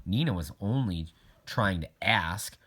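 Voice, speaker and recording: A man voicing a firm, patient woman school principal in a children's audiobook, measured and explanatory. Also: low-pitched, animated, fairly bright tone, slightly rough, exaggerated voice. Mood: firm, calm.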